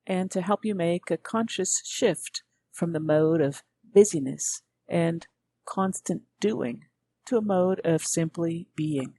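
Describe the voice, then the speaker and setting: A woman guiding a meditation as a teacher, speaking gently in measured, unhurried phrases with pauses. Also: on the low side, slightly rough, dry recording. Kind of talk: guided meditation narration.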